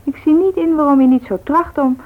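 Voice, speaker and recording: A woman's voice: sits high, brisk, natural voice. An actress playing a teasing, practical woman who coaxes persistently in a Dutch radio play. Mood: insistent, coaxing. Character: teasing, practical woman, meddling matchmaker to a man. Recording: tinny, noisy old radio recording.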